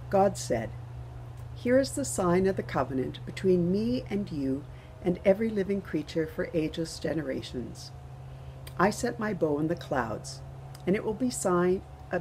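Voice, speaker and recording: A woman's voice: fairly low pitch, warm tone, a little hiss or hum, rough recording. A woman in her sixties reading scripture aloud as a member of the clergy. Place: outdoors in a garden.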